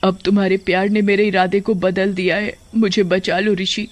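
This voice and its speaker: A young shapeshifting witch played by a man pleads for love in a high, imploring voice. It is a horror storybook reading with faint insect noise behind. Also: little pitch movement, normal speed, natural voice. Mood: desperate, earnest. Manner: earnest, imploring.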